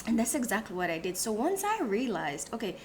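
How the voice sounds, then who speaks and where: A woman's voice: on the high side, very animated, fairly quick, a little hiss or hum. A woman born in Haiti and raised in the United States, hosting a reflective solo talk, thinking aloud with many restarts and fillers. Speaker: host.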